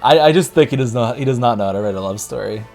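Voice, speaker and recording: A man casually reviewing movies, rambling and correcting himself, his voice worn and going hoarse. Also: mid-range voice, fast, a little mellow, faint music behind. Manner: rambling, self-correcting, laughs often. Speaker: YouTube reviewer.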